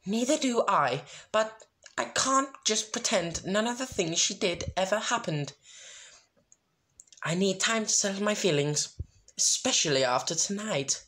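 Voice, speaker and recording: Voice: medium pitch, animated, a little slow, a bit bright, pitched above the performer's own voice. A performer voicing a refined, cautious, sensitive lady pony in an amateur story reading. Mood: hurt, firm.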